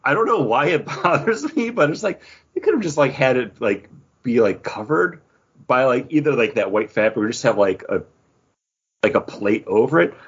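A man hosting a podcast in a casual chat, rambling and halting, with "like" dropped in often. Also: medium pitch, very animated, fairly bright tone, slightly rough, lo-fi sound. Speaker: podcast host.

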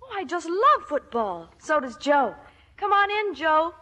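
Effusive teen daughter, high bright voice, sweet, vintage radio comedy. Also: female voice, very animated, measured pace, heavily acted. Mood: sweet, excited. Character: gushing, love-struck teenage daughter. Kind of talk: 1940s-50s radio comedy acting.

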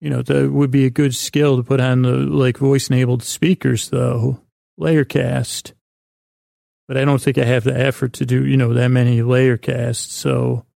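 A man hosts a sleep podcast in a creaky, relaxed voice, recorded close and dry. He meanders gently through his sentences, stumbling and restarting as he goes, with a soothing, quietly absurd bent. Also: mid-range voice, no echo. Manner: meandering, halting, stumbling over words. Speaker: podcast host. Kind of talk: rambling bedtime-story sleep podcast monologue.

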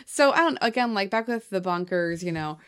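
A woman's voice: mid-range voice, expressive, brisk, fairly bright tone, smooth. A woman with a New Hampshire accent, co-hosting a spooky podcast in casual chat, giggly and rambling and tired. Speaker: podcast co-host.

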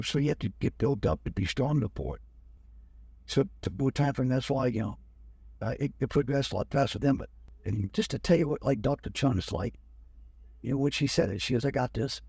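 A man's voice: medium pitch, fast talker, gravelly, breathy edge, no echo. An older man, a guest, telling his story in an interview and animatedly acting out what others said. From United States. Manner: animated, acts out other people's lines.

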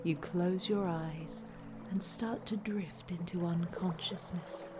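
A woman reading a scripted guided relaxation in a soothing, hushed, deadpan way. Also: lowish voice, slow. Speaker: narrator, reader. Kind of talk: scripted guided relaxation narration, parody meditation. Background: music.

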